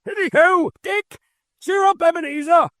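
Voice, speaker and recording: A man voicing a jolly, boisterous old male merchant with a rich, jovial voice, shouting cheerfully in an audiobook. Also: very high-pitched, moderate pace, no room sound, clean studio sound, pitched above the performer's own voice. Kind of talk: audiobook character voice.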